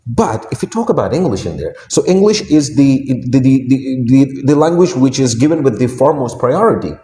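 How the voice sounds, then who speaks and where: A man's voice: mid-range voice, sing-song, fairly quick, mellow. Hesitant Pakistani man in an echoey room. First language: Urdu. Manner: hesitant, repeats words, many fillers. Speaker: guest speaker. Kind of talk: interview answers.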